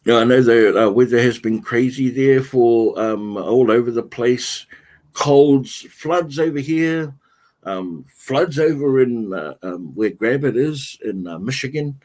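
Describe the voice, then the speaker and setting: Male livestream host, middle-range voice, slow and halting delivery as he answers comments. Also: medium pitch, darkish tone. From New Zealand. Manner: answers viewer comments one by one, halting and unhurried. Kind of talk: casual livestream chat.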